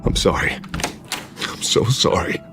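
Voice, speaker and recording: An actor playing a distraught, unstable, guilt-ridden man, pleading haltingly in a dramatic video game. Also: music in the background, natural voice.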